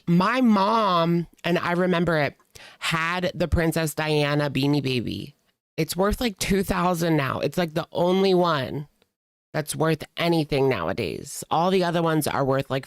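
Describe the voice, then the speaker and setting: A woman streamer reacts casually with snark and plenty of slang. Her voice is clean and smooth, set in a dry, close sound, and she drags out words to make a point. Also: sits low, dry recording. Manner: snarky, slangy, drawn-out emphasis. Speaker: reaction streamer commenting on a video.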